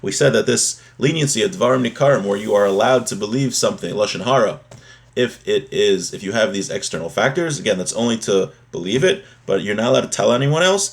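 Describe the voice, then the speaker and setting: A man giving a religious lesson, reading from a text and explaining it, repeating and rephrasing his points as he goes. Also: fairly low pitch. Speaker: religious teacher giving a daily class.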